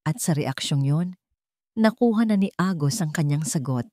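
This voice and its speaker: A woman narrating a dramatic scripted story in slow, deliberate phrases with pauses. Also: low voice, lively intonation, mellow, dry recording, studio quality.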